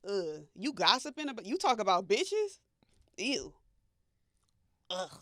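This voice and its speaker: Female American talk-show co-host, bright forceful voice, blunt and slangy, casual gossip chat, tight dry sound. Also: mid-range voice, big pitch swings, a little slow, dry recording.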